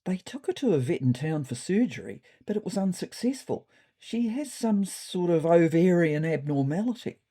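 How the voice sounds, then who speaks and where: A woman's voice: on the low side, sing-song, normal speed, warm tone, husky, exaggerated voice. A woman voicing a brash, careless male cat in a comic story, with a casual, confident, bossy manner. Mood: matter-of-fact.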